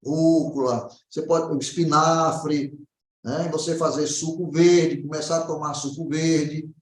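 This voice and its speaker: Brazilian man, naturopath in consultation, explanatory with frequent check-in tags, higher pitch, roomy echo. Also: steady tone, a little slow. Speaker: naturopath answering questions. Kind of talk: informal health consultation.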